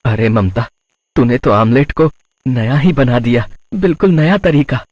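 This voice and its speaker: A man playing a gentle, approving husband in a cartoon story. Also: mid-range voice, unhurried, no room sound, highs cut off, voice pushed down, lightly acted. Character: approving husband of a food-cart woman. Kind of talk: Hindi moral-story cartoon voice acting.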